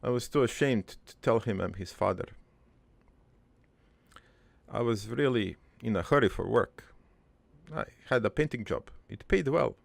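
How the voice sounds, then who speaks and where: Male actor as crude old cartoon dad, creaky gravel voice, slow rambling delivery, wistful, deadpan, improvised storytelling. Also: medium pitch, no room sound. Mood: rueful, wry. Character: dead old ne'er-do-well father, crude, wistful, doting. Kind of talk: improvised first-person cartoon monologue.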